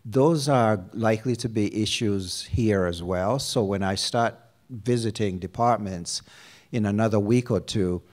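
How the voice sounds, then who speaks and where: A middle-aged man from Uganda, a university provost, answering interview questions on a campus stage with a handheld mic, measured and full of fillers. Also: on the low side, darkish tone. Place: lounge stage before an audience. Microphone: handheld microphone.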